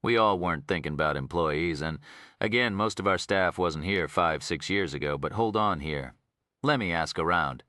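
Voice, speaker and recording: A man's voice: deep voice, normal speed, sharp tone, no echo, studio quality. A man voicing a polite, helpful Texan prison warden with a drawl in an audiobook. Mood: polite, thoughtful. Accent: Texas.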